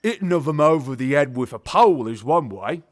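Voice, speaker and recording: An actor playing a grumpy but genial elderly zookeeper, telling things bluntly in rambling colloquial speech for an audio drama. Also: male voice, a little high, lively intonation, brisk, lightly acted. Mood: gruff, philosophical. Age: older. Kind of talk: audio drama character acting.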